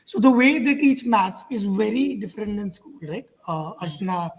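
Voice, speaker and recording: A young adult woman from India speaks casually as a learner on a panel. Her voice sits at a middle pitch, and she feels her way through each thought hesitantly. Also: moderate pace, vocal fry, husky. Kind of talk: casual personal account on a panel.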